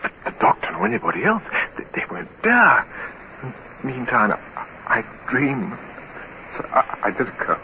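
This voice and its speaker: An actor playing a frightened, despairing young naval midshipman in a radio drama, speaking hesitantly in a hushed, trembling voice. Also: mid-range voice, sing-song, normal speed, vocal fry. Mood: anxious. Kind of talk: 1950s radio drama acting. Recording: muffled, hissy old radio recording.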